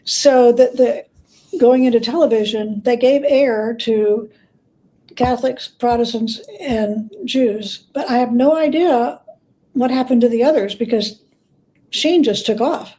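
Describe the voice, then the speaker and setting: A woman speaking as a guest expert, explaining history conversationally and often pausing to search for words. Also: a little high, dark tone, low-quality recording. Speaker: guest expert, biographer and scholar.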